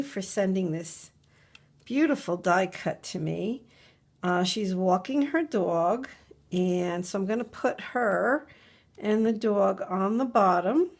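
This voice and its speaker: A woman's voice: mid-range voice, creaky, a little mellow, slightly rough. A middle-aged woman, a little congested from a cold, chatting and musing aloud at her craft desk while she works. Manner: chatty, musing aloud, repeats words to herself. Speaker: craft video host. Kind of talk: relaxed crafting tutorial narration. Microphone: overhead camera above a desk, hands only.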